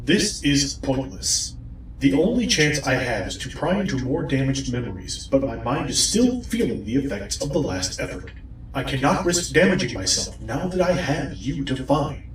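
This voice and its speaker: A commanding, obsessed villain played by a man in an audio drama, drenched in echo. The delivery is deliberate and threatening, with dramatic swings of pitch, heard a little far from the mic. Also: big pitch swings, natural voice. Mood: cold, focused. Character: commanding, obsessed villain hunting a lost loved one. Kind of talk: dramatic audio fiction acting. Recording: echoey, distant, faint noise.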